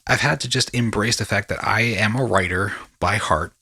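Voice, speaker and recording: A man's voice: fairly low pitch, normal speed, creaky, sharp tone, breathy edge, no echo, studio quality. A man hosting a podcast, talking in a casual, rambling storytelling way with slangy asides. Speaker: podcast host.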